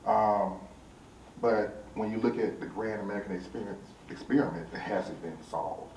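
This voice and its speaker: A man with a low voice gives reflective remarks on an artists' panel. He speaks haltingly, with many pauses and hesitations. The microphone sounds distant in a reverberant room. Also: a little mellow. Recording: roomy, distant mic, faint noise.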